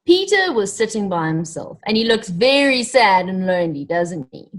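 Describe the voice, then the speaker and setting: A woman reading a story aloud to children, animated and encouraging, pausing to ask them questions directly. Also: medium pitch. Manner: animated, encouraging, addresses young listeners directly.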